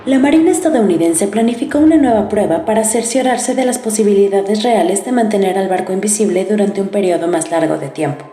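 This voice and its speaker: A woman reading a scripted narration in a steady, measured way. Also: medium pitch. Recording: roomy echo with faint music.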